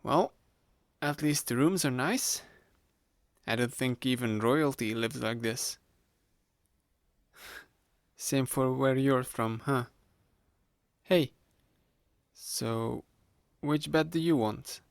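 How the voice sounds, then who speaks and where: A man playing a sly, playful young male student hypnotist in a conversational ASMR roleplay, speaking to the listener in a gravelly, teasing voice. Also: mid-range voice, slow, pauses often, no room sound, clean studio sound. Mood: relieved, friendly. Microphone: close studio-style microphone, dry.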